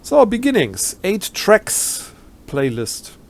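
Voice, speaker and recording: A man's voice: high voice, wildly swooping pitch, takes it slowly, vocal fry. A man with a German accent doing a let's play, reading game text aloud and adding wry, ironic asides. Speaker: let's player.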